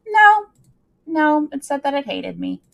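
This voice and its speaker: A woman's voice: high-pitched, sing-song, measured pace, vocal fry, fairly bright tone. A woman hosting a casual livestream, talking in a bubbly, playful way with folksy turns of phrase. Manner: bubbly and playful, with folksy greetings and sing-song muttering. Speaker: livestream host.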